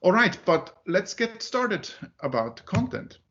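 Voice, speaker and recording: A man's voice: high voice, very animated, slow, fairly bright tone, dull audio. A man from Finland who grew up in Zurich, not a native English speaker, giving a talk at a user group meeting with many fillers and restarts. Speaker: conference speaker.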